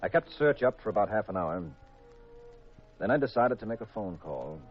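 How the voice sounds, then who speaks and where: Seeking a man to play a hard-boiled gumshoe: very deep, deadpan, vintage muffled radio sound with music. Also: normal speed, lightly acted. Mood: tense, matter-of-fact. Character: hard-boiled male private detective, cool, wry, narrating his case. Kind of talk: 1940s-50s radio mystery acting, first-person narration.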